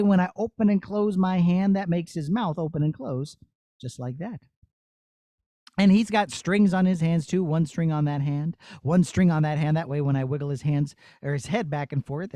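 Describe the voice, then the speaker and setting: High-voiced man presenting puppets to children. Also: mellow, no echo. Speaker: puppeteer teaching a class. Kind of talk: demonstration for children.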